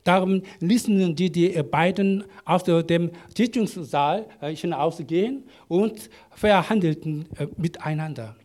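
A man preaching in German, a language he learned as an adult, halting often with fillers and restarts while an interpreter follows. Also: fairly high pitch, darkish tone. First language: not German. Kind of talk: sermon with live interpreter.

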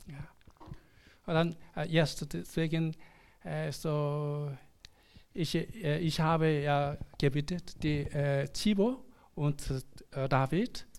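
A man leading a church service as pastor in a language that is not his first. His voice is fairly high and soft-edged. He speaks very slowly and haltingly, breaking sentences as he searches for words. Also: fairly even intonation, vocal fry, clean tone. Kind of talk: church service address and prayer.

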